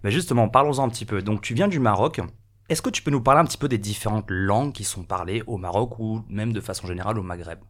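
A man hosting a radio interview, asking measured, probing questions. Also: on the low side, a bit bright. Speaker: radio host. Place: radio studio.

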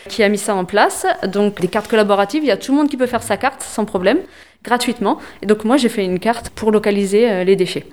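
This is a young woman answering interview questions for a local environmental group. She hesitates often and fills gaps with "euh", and she slows right down to spell names letter by letter. Her pitch sits in an ordinary middle range. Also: a little mellow. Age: young adult. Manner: hesitant, many fillers, spells words out slowly. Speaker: environmental association volunteer.

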